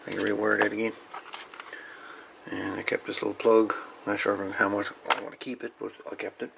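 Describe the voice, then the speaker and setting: Gravelly low-voiced man, casual video host. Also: little pitch movement, brisk, a bit bright, a little hiss or hum, rough recording. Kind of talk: casual show-and-tell of salvaged radio parts.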